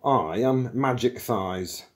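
A man's voice: fairly high pitch, lively intonation, moderate pace, mellow, pitched above the performer's own voice, close to an everyday voice. A man voicing an irritable older philosopher in an audiobook, officious, insistent and indignant. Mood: self-important.

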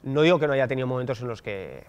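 A man in his thirties, a political candidate, giving thoughtful, hesitant interview answers with many fillers and restarts on a dark studio set. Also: mid-range voice, lively intonation, fast, clear, resonant tone, big-room sound. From Basque Country, Spain.

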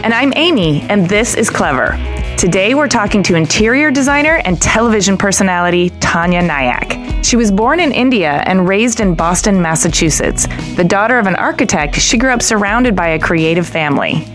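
A woman's voice: medium pitch, lively intonation, moderate pace, fairly bright tone, faint music behind. A woman co-hosting a design podcast, teasing the guest and asking probing questions. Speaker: podcast co-host. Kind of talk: casual interview chat.

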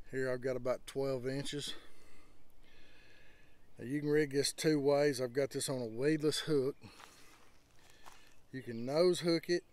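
A man's voice: medium pitch, darkish tone. A man, talking folksy and casual to his viewers while fishing outdoors on the water. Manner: folksy, talks straight to viewers. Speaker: fishing vlogger.

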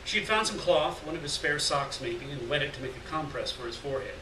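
A middle-aged man giving a dramatic, deliberate live reading of a literary story from a lectern. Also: fairly high pitch, animated. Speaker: reader of short fiction. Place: indoors at a lectern in a gallery room. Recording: roomy, distant, noisy live room recording.

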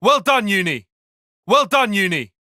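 A man's voice: high voice, very animated, a little slow, pushed and bright, no echo, clean studio sound, heavily acted. An actor playing a brainy young male tactician in a video game, giving clipped, commanding battle call-outs with composed intensity. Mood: composed, focused.

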